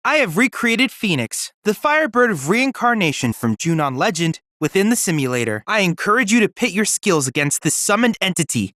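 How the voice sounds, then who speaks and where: A man's voice: high-pitched, big pitch swings, fairly quick, few pauses, pushed and bright, no room sound, clean studio sound, heavily acted. A young man playing an earnest, scholarly android boy research assistant in a video game, speaking with formal, precise, courteous diction. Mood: encouraging, formal. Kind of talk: scripted video game dialogue.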